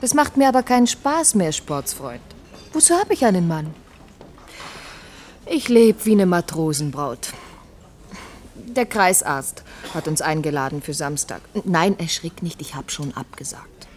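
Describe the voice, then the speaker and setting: A sardonic, jealous wife and doctor in a radio drama. She has a lowish voice and a needling, discontented way of talking, over faint background noise. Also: lively intonation, unhurried, a little mellow. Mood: reproachful. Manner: sarcastic, needling. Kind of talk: German radio drama dialogue.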